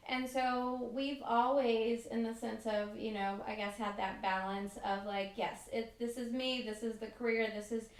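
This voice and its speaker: Higher-voiced woman in a roomy space. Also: fairly even intonation, a little slow, hardly any pauses. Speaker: podcast guest, military spouse. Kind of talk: conversational interview, personal reminiscence. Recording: roomy, echoing sound.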